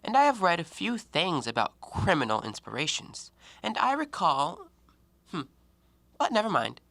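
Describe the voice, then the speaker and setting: An educated, self-justifying man , played by an actor in an audio drama, speaks in formal, reasoned sentences. The voice is bright and crisp with animated swings of pitch, recorded close and dry. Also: moderate pace, no room sound, full character voice. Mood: dismissive, probing. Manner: articulate, rationalizing, formal stage diction. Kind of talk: stage-play acting in an audio drama.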